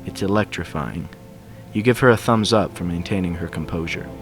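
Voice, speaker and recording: A man's voice: low voice, a little fast. A man reading fan fiction aloud as scripted narration, with music behind him. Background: background music.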